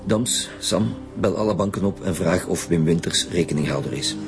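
A man with a Flemish accent playing a brisk, blunt police detective in a radio drama, businesslike in manner. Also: low voice, music in the background. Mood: businesslike, probing. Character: brisk male police detective leading a murder squad. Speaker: actor in a radio crime serial. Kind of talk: naturalistic radio drama acting.

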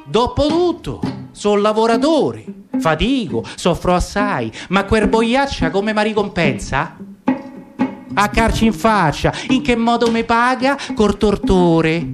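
A man's voice: high-pitched, very animated, slow pace, pitched above the performer's own voice, full character voice. A man voicing an overworked, aggrieved male donkey with a Roman accent. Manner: aggrieved, complaining. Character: fed-up overworked male donkey, bitter laborer. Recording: guitar music behind.

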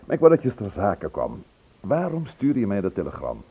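An actor playing a patient but blunt, dry detective inspector in a radio drama, on a dull old recording. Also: male voice, on the low side, huge pitch swings, a little fast, creaky, warm tone, husky, full character voice. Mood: wry, impatient.

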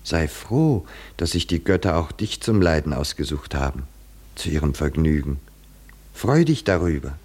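Impassioned philosophical seer, low-pitched, deliberate and rhetorical, 1950s radio play. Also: male voice, big pitch swings, a little mellow, breathy edge, full character voice. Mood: earnest, urgent. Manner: rhetorical, fervent, deliberate dramatic pauses. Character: impassioned philosophical male poet-seer awaiting his blindness. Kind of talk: 1950s German radio drama acting.

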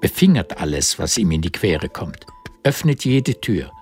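An actor narrating a historical radio drama in vivid scripted present tense, with a husky voice. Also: male voice, low voice, big pitch swings, measured pace, darkish tone, faint music behind, exaggerated voice. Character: male narrator of a historical story.

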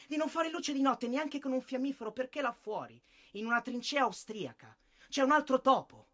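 An actor playing a bitter, disillusioned young soldier killed in war, in a husky, theatrical monologue. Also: male voice, very high-pitched, a bit bright, heavily acted. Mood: impassioned. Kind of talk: dramatic stage monologue, radio drama.

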